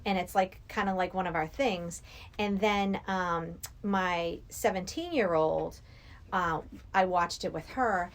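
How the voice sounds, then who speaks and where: Podcast guest: middle-aged woman, slightly low voice, conversational, anecdotal. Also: unhurried. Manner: anecdotal, acts out quoted dialogue. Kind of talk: casual film discussion.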